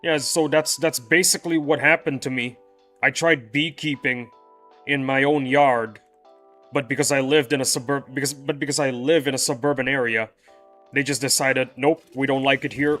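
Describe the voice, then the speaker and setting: Young male streamer; mid-pitched, rambling and casual; faint music bed. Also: fairly bright tone. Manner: rambling, loose, often restarts sentences. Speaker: game streamer. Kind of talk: casual livestream chat with viewers. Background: faint background music.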